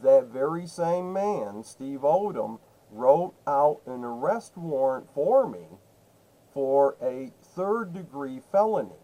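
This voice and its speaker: A man's voice: mid-range voice, animated, slow, dark tone, muffled recording. A man making an insistent phone complaint, laying out accusations in an emphatic, repetitive way and cutting in. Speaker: caller to a state senator's office.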